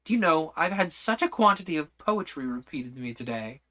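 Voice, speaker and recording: A man's voice: a little high, big pitch swings, brisk, sharp tone. Man doing a polite, anxious young girl. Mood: nervous. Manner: earnest, hesitant, polite.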